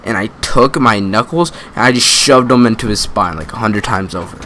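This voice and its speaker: A man's voice: lowish voice, a little fast, bright, forceful tone, slight background noise. A young man telling a story casually as a gaming creator, rambling and slangy, often swearing and interrupting himself. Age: young adult. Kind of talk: casual storytelling over gameplay.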